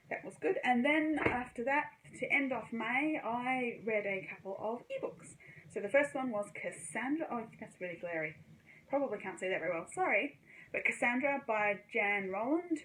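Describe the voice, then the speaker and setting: Bright-voiced young woman chatting about books. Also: medium pitch, rough recording. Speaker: book reviewer. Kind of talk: casual book wrap-up chat to camera.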